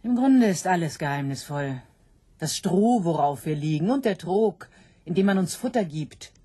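A woman's voice: on the low side, lively intonation, normal speed, warm tone, husky. A woman voicing an anxious, doubting sow in a literary radio play, with a brooding, dramatic delivery. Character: anxious, doubting sow pondering her fate.

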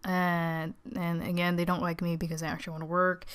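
A teenage girl with a naturally low voice, tired and subdued, giving a casual, rambling, hesitant update as a vlogger. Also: level tone, brisk, fairly bright tone, smooth. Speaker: video channel creator.

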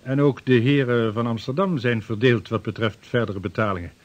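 An actor playing a courteous, earnest sixteenth-century expedition planner in radio drama, speaking formally and deliberately with a husky voice. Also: male voice, medium pitch, lo-fi sound, lightly acted. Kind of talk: Dutch radio drama acting.

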